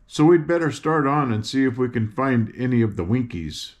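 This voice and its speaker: A man's voice: mid-range voice, animated, normal speed, a little mellow, close to an everyday voice. An older man voicing a cheerful young farm girl who patiently explains things as she guides her friends. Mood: chatty.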